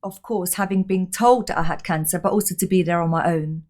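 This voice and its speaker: A woman's voice: on the low side, a little fast, darkish tone. A woman from Austria, a podcast guest telling her personal story in an open, reflective way.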